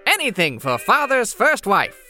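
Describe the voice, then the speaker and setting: A woman's voice: on the high side, huge pitch swings, a little slow, pushed and bright, faint music behind, full character voice. A woman voicing a dim, eager, obedient brother and chauffeur in a comic audio soap, naive and over-eager. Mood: eager, clueless.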